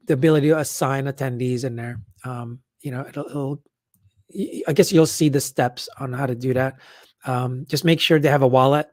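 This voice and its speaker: A man with a hoarse, worn-out voice hosting a casual live Q&A, rambling with lots of fillers and restarts as he answers comments. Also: medium pitch, a little mellow, no echo. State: hoarse, losing his voice. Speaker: event organizer hosting a live update. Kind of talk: casual livestream Q&A.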